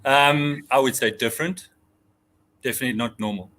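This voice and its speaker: A man's voice: medium pitch, normal speed, fairly bright tone. A middle-aged man with a South African accent, a guest telling his life story in a chatty, candid, conversational way. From Johannesburg, South Africa. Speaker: safari guide, interview guest.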